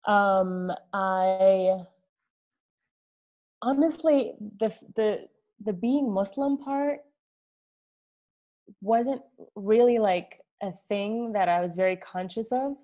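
Slow-speaking, candid young woman guest. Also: medium pitch, mellow. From Egypt, raised in New York. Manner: candid, reflective, with many "like" fillers. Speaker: interview guest. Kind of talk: casual conversation.

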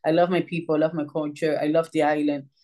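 A middle-aged woman from Puerto Rico, a social worker and author telling her story in an interview, animated and acting out the voices she quotes. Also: low voice, fast talker.

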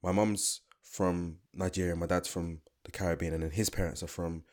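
A man's voice: low voice, fairly even intonation, normal speed. A young man with a London accent, talking casually and thoughtfully on a podcast, often restarting his sentences. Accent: London English. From London, England. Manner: thoughtful, rambling with restarts and asides. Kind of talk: casual chat.

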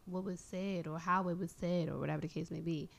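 Woman talking it through slow and thoughtful, asks questions. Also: on the low side, fairly even intonation, vocal fry. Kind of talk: casual conversation.

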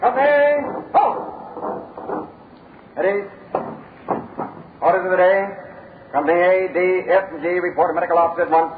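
An actor playing a commanding army officer, barking clipped military orders in a radio drama. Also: male voice, high voice, moderate pace, pauses often, slight background noise, natural voice. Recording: tinny, echoey old radio, crowd behind.

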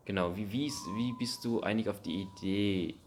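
A young man hosting a podcast, asking questions in a friendly, casual way with slang and halting restarts. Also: on the low side, a little mellow, slight background noise. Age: young adult. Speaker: podcast host. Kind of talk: casual interview chat.

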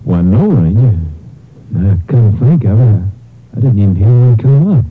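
An American actor playing a dejected, guilt-ridden young gambler in a 1950s radio drama, hesitant and subdued. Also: male voice, lowish voice, expressive, a little slow, breathy edge, no echo. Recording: thin, narrow 1950s radio recording.

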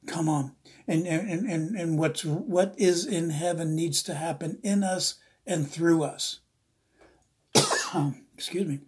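A preacher from the East Coast of the US with a very husky, rough-edged voice and a medium pitch. The delivery is informal and devotional, earnest and rambling, circling back to repeat key phrases. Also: darkish tone. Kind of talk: informal devotional Bible teaching.

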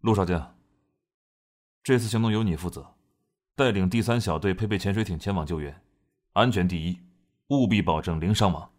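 A man playing a restrained, commanding young major general in a measured radio drama style, with a husky voice. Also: low voice. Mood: firm, commanding. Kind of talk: Chinese radio drama acting.

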